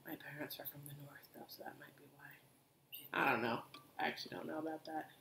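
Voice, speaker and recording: A young woman, co-hosting a podcast and telling stories in an animated, casual way. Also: low-pitched, fairly quick, creaky, a little hiss or hum. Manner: animated storytelling, many asides. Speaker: podcast co-host. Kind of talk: casual chat. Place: living room couch, indoors. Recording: echoey room.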